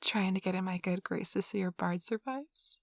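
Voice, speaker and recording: A woman playing a nerdy, affectionate girlfriend in an ASMR roleplay, rambling softly and casually in a gushing, breathy voice. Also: mid-range voice, moderate pace, no echo, close to an everyday voice. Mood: tender, teasing. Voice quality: soft, breathy, slightly husky. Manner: gushing, casual, giggly, chatty. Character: nerdy affectionate girlfriend, excitedly rambling about tabletop gaming. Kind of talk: soft-spoken ASMR roleplay, intimate rambling. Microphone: close microphone, dry.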